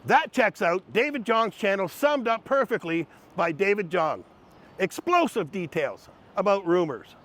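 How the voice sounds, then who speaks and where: A man's voice: sits high, very animated, a little slow, fairly bright tone. A man hosting a commentary video, mocking his subjects in a snarky, sarcastic way. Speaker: commentary host.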